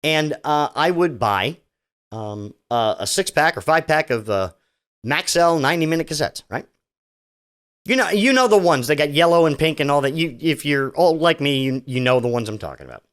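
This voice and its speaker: A man's voice: fairly high pitch, animated, moderate pace, fairly bright tone, smooth. A middle-aged man hosting a solo podcast, talking casually and sarcastically, rambling with many restarts. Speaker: podcast host.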